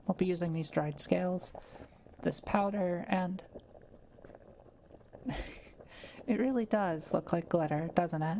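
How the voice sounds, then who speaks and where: A woman playing a kind, quiet forest witch in an audio roleplay, speaking gently and patiently as she explains things to the listener. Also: on the low side, slow pace, gravelly, pauses often, a little hiss or hum. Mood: focused, explanatory. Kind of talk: soft-spoken audio roleplay addressed to the listener.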